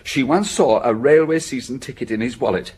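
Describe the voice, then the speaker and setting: An actor playing a penniless middle-aged scoundrel in a radio drama, husky, self-pitying and ingratiating, with a theatrical touch. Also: male voice, mid-range voice, brisk, a little mellow, close to an everyday voice. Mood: eager, ingratiating. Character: penniless, wheedling middle-aged scoundrel, an old friend of police. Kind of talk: BBC radio drama acting.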